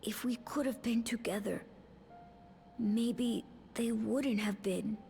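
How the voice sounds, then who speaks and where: Young boy, video game character, very unhurried, soft, hesitant, wistful. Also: a little high, gravelly, faint music behind. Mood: wistful, subdued. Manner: slow, hesitant.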